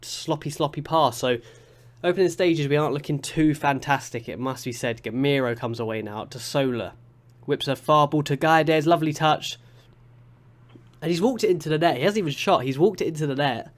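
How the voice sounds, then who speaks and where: A young man with a congested, sniffly voice from a cold, chatting through a football management game with excitable play-by-play commentary. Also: on the high side, fairly quick. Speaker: gaming YouTuber.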